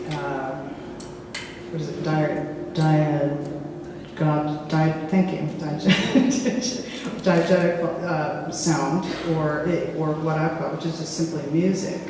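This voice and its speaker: A woman filmmaker speaking casually in a public discussion, halting and searching for words with frequent restarts. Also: low-pitched. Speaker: filmmaker in a post-screening discussion. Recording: echoey hall from far off, faint hiss.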